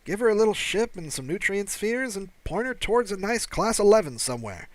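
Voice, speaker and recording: A man voicing a flustered, indignant young male alien security officer who protests haltingly in an audiobook. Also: high-pitched, sing-song, dry recording, pitched above the performer's own voice. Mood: defensive. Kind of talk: audiobook character dialogue.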